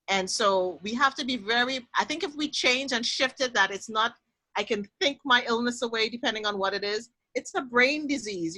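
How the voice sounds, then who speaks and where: A woman's voice: medium pitch, pushed and bright, dry recording, low-quality recording. A woman from Trinidad with a Trinidadian accent, hosting a panel discussion and speaking earnestly and emphatically.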